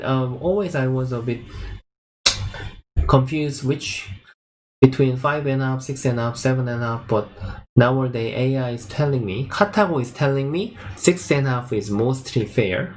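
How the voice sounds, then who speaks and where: Young man, Korean accent, halting non-native phrasing, medium pitch, casual livestream host, noisy recording. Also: darkish tone. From South Korea. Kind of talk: casual livestream chat, answering viewer questions. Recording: clearly noisy livestream audio.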